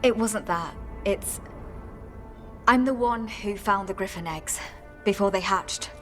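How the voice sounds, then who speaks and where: A woman's voice: medium pitch, slow pace, fairly bright tone, faint music behind. A woman playing a nervous, earnest former warden recruit in a fantasy game, speaking hesitantly and solemnly. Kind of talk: game dialogue acting.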